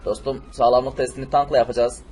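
Guy doing a cheeky pushy superhero for a cartoon, talks fast, slight background noise. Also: mid-range voice, level tone, darkish tone, lightly acted. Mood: insistent. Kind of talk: amateur cartoon-style game video dub.

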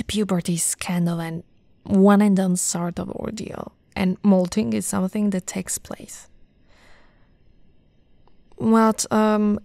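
A young woman playing an affectionate, teasing lamia girlfriend in an ASMR roleplay, soft-spoken and often whispering, with a breathy, coaxing delivery close to the mic. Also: medium pitch, no room sound. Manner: slow, breathy, coaxing delivery with pet names. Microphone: close, dry microphone.

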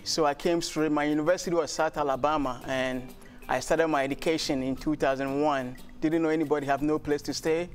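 A man's voice: a little high, steady tone, a little fast, faint music behind. A middle-aged man with a Ghanaian accent, explaining his work as an interview guest. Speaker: interview guest, shoemaker and business founder. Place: bright glass-walled TV studio. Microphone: lapel mic.

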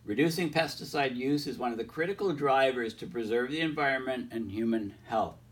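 A man's voice: mid-range voice, brisk, fairly bright tone, recorded from a distance. A man with a cold, his voice a bit off, explaining research papers in a rambling way, reading passages aloud with many ums and restarts. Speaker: climate science video host. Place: indoors in front of a large projected screen.

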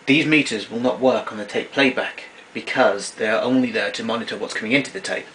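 A man giving an informal hands-on equipment demonstration in a matter-of-fact, explanatory way, recorded on a camera mic in a small room at home. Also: mid-range voice. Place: small domestic room indoors. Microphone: camera mic a short distance away. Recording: noisy amateur room recording. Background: clear background noise.

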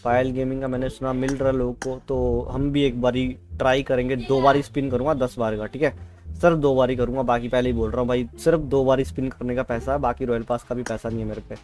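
A man's voice: medium pitch, flat delivery, fast talker, darkish tone. A man doing casual gameplay commentary, chatting to viewers and repeating his phrases. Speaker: gaming video creator. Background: game music.

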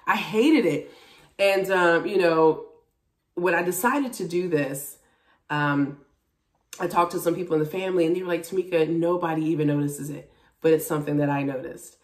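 Female vlogger, around forty, lowish voice, expressive and frank delivery, roomy home sound with a distant mic. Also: moderate pace. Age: about 40. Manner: candid, expressive, emphatic. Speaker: vlogger, TV and radio personality. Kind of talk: casual chat to camera about a personal journey. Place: small indoor room at home. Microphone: camera a few feet away. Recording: roomy echo, distant microphone.

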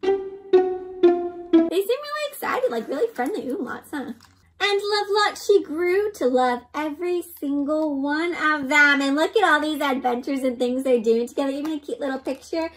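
A young woman with a high, bright-eyed storytime delivery reads a picture book to children. Her pitch swings widely and expressively. She keeps pausing to put questions to her young listeners. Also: faint music behind. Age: young adult. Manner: animated and expressive, often asking the listener questions. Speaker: host reading a picture book aloud to children. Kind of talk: interactive children's read-aloud.

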